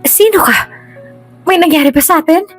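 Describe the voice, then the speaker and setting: A woman's voice: very high voice, moderate pace, faint music behind, pitched above the performer's own voice. A woman voicing a naive, emotional young bride in a dramatized audio story, exclaiming dramatically. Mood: shocked, panicked.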